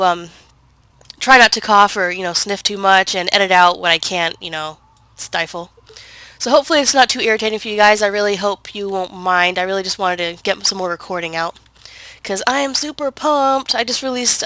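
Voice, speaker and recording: A woman's voice: medium pitch, fairly quick, bright, forceful tone, dry recording. Congested, chatty woman narrating gameplay. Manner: chatty, rambling, full of fillers and self-corrections. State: congested from a cold. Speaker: gaming video creator. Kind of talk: casual gameplay commentary.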